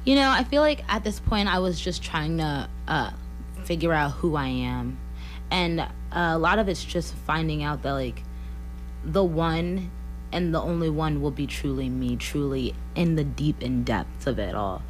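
A woman's voice: deep voice, measured pace, soft background music, poor audio. A woman in her twenties, a singer-songwriter from Missouri, talking earnestly in a radio interview and laughing often. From Columbia, Missouri. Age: 20s. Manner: earnest, laughs often, many likes and you-knows.

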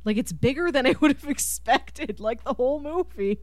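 Woman, fairly high voice, very lively intonation, casual chat, enthusiastic, giggly, dry sound. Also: moderate pace, dry recording. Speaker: podcast guest co-host discussing film.

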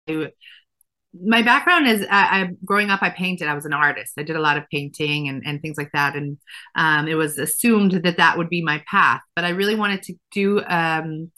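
Woman in her 30s, lowish pitch, bright tone, thoughtful guest with lots of ums. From Israel. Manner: thoughtful, many fillers and restarts. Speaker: fashion designer guest. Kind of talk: casual interview answers.

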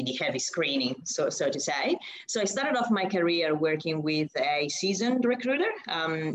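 A young woman from Italy speaks English with a clear Italian accent. Her voice sits low for a woman, and she answers in a chatty, conversational way, restarting phrases and filling pauses as she thinks. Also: low-quality recording. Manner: chatty, with frequent fillers and restarts. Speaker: guest, recruitment consultant. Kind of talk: casual interview answers.

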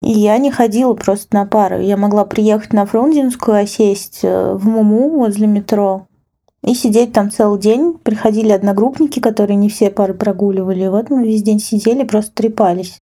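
A woman's voice: medium pitch, fairly even intonation, fairly quick, warm tone. A woman casually recounting a personal memory as a podcast guest, candid and colloquial. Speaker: guest editor telling a personal story. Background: background music.

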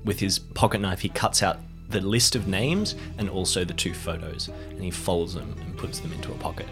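A man's voice: deep voice, moderate pace, over music. A man narrating his character's actions as a player in an improvised tabletop story.

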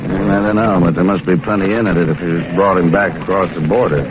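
A man's voice: low-pitched, steady tone, a little fast, lightly acted. A male actor voices a laid-back hotel detective. His talk is slangy and obliging, in the manner of 1940s radio, on a dull and noisy old recording. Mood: chatty, obliging. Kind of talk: 1940s radio drama acting.

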